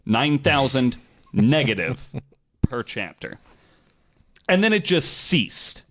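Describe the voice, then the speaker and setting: A man's voice: medium pitch, very animated, slow pace, a bit bright. A man hosting a podcast, telling a researched story in a casual, profane and theatrically emphatic way.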